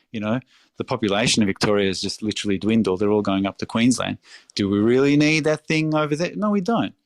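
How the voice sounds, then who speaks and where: A man's voice: on the low side, dry recording. Passionate Australian man, dry sound. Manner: passionate, emphatic, swears freely. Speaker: restaurateur guest. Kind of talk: casual interview talk.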